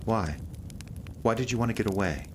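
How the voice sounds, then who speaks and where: Very low-voiced, probing female interrogator. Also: animated. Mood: insistent, pressing. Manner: measured, probing, insistent. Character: controlled, persistent interrogator probing a witness. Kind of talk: naturalistic audio drama acting. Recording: clear background noise.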